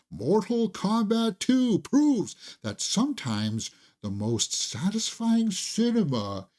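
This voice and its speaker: A man's voice: on the high side, warm tone. A man giving a casual movie review as a film critic, talking conversationally like an enthusiastic fan.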